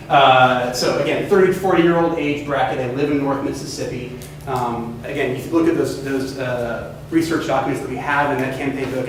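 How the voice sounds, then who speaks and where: A young man from California giving a casual, upbeat class presentation pitch, full of hedging fillers. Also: a little hiss or hum. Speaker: student presenter. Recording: echoey room from far off.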